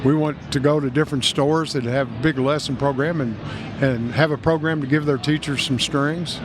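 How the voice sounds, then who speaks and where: A man's voice: fairly high pitch, fairly even intonation, normal speed, background noise. Folksy older Kentucky man. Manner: folksy, rambling, enthusiastic salesman. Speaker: guest, music store owner. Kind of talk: casual trade-show interview.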